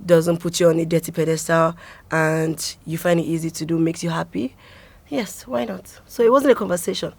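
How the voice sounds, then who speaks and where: A young adult woman with a Nigerian accent, talking casually as an interview guest and telling stories in an animated way. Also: lowish voice, normal speed, creaky, breathy edge, no room sound.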